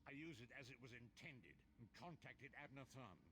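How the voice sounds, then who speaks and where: An actor playing a wise, solemn prophet in a video game, speaking in a measured, formal, eloquent way. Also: male voice, medium pitch, lively intonation, sharp tone, husky. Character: wise, solemn mystic prophet, a male mentor. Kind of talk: video game character voice acting. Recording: game audio with faint background ambience.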